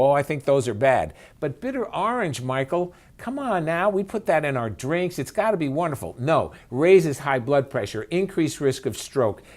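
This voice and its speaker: A man's voice: a little high, a little mellow. A man speaking as an expert interview guest, emphatic and conversational, with rhetorical questions and folksy asides. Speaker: physician and author, interview guest.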